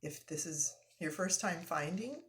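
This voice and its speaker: A woman reading a children's story aloud live, expressive and explanatory, with casual asides to listeners. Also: on the low side, unhurried.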